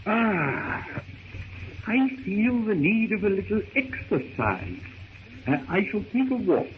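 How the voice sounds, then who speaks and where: An actor playing a pompous, stammering elderly professor, pedantic and absent-minded, in old-time radio drama style. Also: male voice, sits high, big pitch swings, slow pace, heavily acted. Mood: blustering. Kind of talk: 1930s radio drama acting. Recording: tinny, noisy old radio recording.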